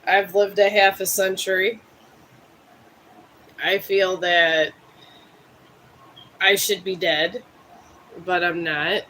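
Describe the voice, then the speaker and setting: A man in his fifties from Ohio, chatting casually with viewers on a live stream in a rambling, musing, self-deprecating way, a bit high. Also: little pitch movement, takes it slowly, pauses often, bright, forceful tone, a little hiss or hum, rough recording. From Cleveland, Ohio. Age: 50s.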